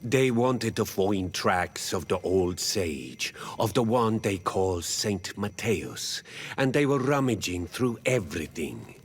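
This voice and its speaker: A man voicing a weary, stoic native village chief in a video game, with a husky voice and a grave, halting delivery. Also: fairly low pitch, big pitch swings, heavily acted. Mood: resigned, grave. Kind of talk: video game dialogue acting.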